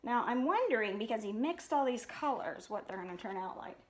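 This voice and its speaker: A woman reads a children's book aloud as a storytime host. Her voice is high and moves up and down expressively, with casual asides between passages. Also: big pitch swings, a little fast.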